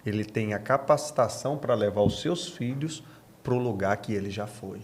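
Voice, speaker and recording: Low-voiced Brazilian man, a preaching pastor. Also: moderate pace, a little hiss or hum. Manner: emphatic, repeats phrases for emphasis. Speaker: pastor, podcast guest. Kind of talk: conversational preaching in an interview.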